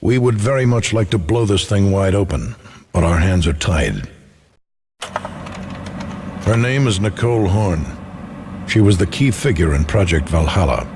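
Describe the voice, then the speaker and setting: An actor playing a secretive old man of influence, speaking in a formal, measured, courteous manner. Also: deep voice, animated. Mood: grave, conspiratorial. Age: older. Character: old, formal, secretive male power broker. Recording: noisy background ambience.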